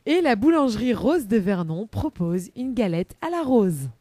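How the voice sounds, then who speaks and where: A woman presenting a chatty live radio magazine segment in a bubbly, playful and expressive manner. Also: on the high side, moderate pace, no room sound.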